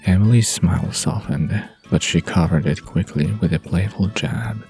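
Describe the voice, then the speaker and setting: Narrator for bedtime audio drama: man, low, mellow, intimate, unhurried storytelling. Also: vocal fry, faint music behind.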